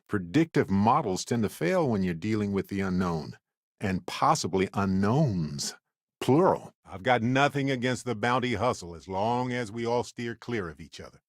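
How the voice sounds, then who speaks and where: A man's voice: lowish voice, dry recording, clean studio sound. A male actor voicing a charming, good-humored space explorer in a video game, with a glib, playful, conversational delivery and a theatrical streak. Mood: wry. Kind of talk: video game voice acting, companion dialogue.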